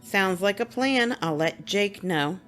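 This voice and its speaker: A woman playing a reserved older sister who speaks in a cool, measured way. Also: mid-range voice, animated, fairly bright tone, faint music behind. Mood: guarded.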